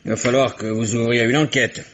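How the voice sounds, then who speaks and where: An actor playing a sly, boastful old village rogue in a 1950s radio drama. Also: male voice, medium pitch, flat delivery, brisk, a bit bright, natural voice. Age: older.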